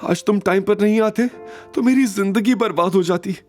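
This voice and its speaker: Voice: mid-range voice, moderate pace, darkish tone, soft background music, natural voice. An actor playing a hurt, earnest young woman in an audio drama, emotional and reproachful in manner. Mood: calmer, grateful. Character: distressed, hurt young woman, bitter yet sincere. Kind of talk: Hindi audio drama acting.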